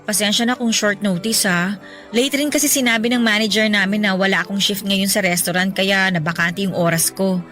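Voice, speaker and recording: A woman's voice: mid-range voice, fairly even intonation, a little fast, fairly bright tone, faint music behind, close to an everyday voice. A woman with a Filipino accent playing a stressed young breadwinner who confides her heartbreak plaintively in a Tagalog radio drama. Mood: troubled, frustrated.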